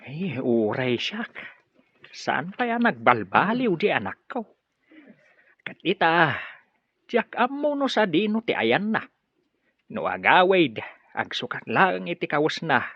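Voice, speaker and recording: Husky, swooping Filipino father. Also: fairly high pitch, sing-song, measured pace, long pauses, no room sound, heavily acted. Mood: worried, hopeful. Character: devout, fretful, friendly Filipino father of a wayward son. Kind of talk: Ilocano radio drama acting. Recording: muffled radio drama audio.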